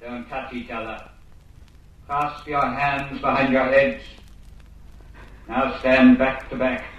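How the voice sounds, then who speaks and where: An actor playing a cold, commanding surveillance voice of a police state in a radio drama, giving menacing orders. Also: male voice, medium pitch, little pitch movement, fairly bright tone. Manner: slow, clipped, menacing orders. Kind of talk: old radio drama acting. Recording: muffled, heavily echoing, distant.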